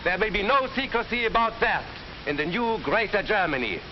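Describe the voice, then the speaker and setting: An actor playing a hateful, rabble-rousing Nazi orator, declaiming a political speech in a 1940s film. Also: male voice, sits high, sing-song, a little fast. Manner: declamatory, demagogic. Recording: noisy, dull 1940s film soundtrack.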